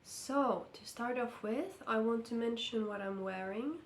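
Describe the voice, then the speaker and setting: A woman from Finland with a Finnish accent, speaking English, sounding croaky from being sick as she talks through a knitting update slowly and carefully. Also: fairly high pitch, roomy sound. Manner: slow, careful, hesitant. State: hoarse from illness. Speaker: knitting vlogger. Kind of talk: casual vlog update. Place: indoor room under lamp light.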